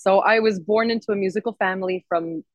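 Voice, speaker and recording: A woman's voice: mid-range voice, fast talker, no echo, highs cut off. A woman singer-songwriter chatting casually and enthusiastically as an interview guest. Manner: enthusiastic, expressive.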